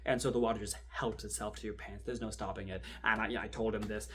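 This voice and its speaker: A man playing a wry, rattled archival assistant in a horror drama, rambling and sardonic as he records a halting confessional monologue. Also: on the low side, fairly even intonation, close to an everyday voice. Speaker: performer in a fan audio-video drama. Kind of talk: found-footage confessional monologue, conversational storytelling.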